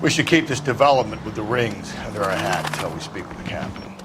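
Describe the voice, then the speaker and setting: An American man playing a gruff, streetwise veteran detective in a video game, blunt and world-weary. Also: lowish voice, animated, quick pace, heavy background noise, lightly acted. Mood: stern, skeptical.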